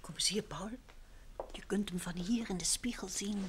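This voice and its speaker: A woman's voice: medium pitch, normal speed, close to an everyday voice. A woman acting a detective's composed, observant wife in an old Dutch radio drama. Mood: hushed, confiding. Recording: slightly noisy vintage radio recording.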